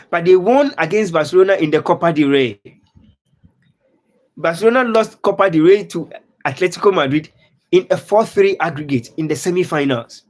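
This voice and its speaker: A man from Ghana hosting a live stream, talking casually about football and reading out viewers' comments. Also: high voice. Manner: repetitive, earnest, reads out viewer comments. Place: lives in Dubai.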